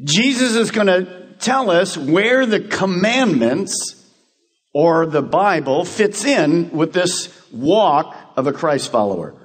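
A male pastor with a high, husky voice that swoops up and down as he preaches. He fires off questions and answers them himself. His voice rings with the long reverb of a church hall. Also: takes it slowly. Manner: animated preaching with rhetorical questions. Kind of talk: radio sermon.

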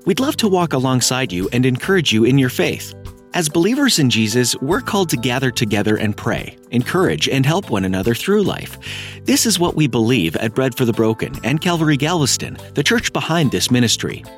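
A man's voice: mid-range voice, big pitch swings, brisk. Inviting male announcer over music. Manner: warm, inviting, read from script. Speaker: radio ministry announcer. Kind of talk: scripted outro announcement.

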